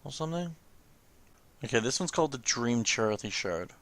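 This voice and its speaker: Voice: mid-range voice, animated, moderate pace, a bit bright. A let's play host giving casual, informal commentary while reading through video game cutscenes.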